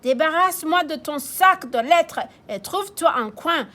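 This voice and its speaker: A woman's voice: high-pitched, animated, measured pace, sharp tone, pitched above the performer's own voice, heavily acted. A woman with a Québécois accent voicing a bossy male police chief giving orders in a children's storybook reading. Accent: Québécois French. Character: bossy town police chief, adult man.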